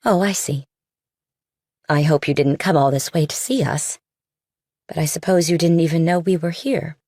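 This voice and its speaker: A woman's voice: low-pitched, moderate pace, mellow, no room sound, studio quality. A woman voicing a stern, pious middle-aged missionary mother in audiobook dialogue. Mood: probing.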